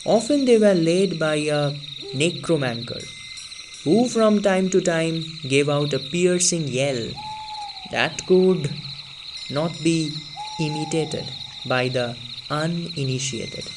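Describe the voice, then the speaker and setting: Slow, deliberate male storyteller. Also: noisy background. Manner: slow, deliberate and expressive, sinking low for tense moments. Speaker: story reader. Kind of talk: storybook read-aloud narration.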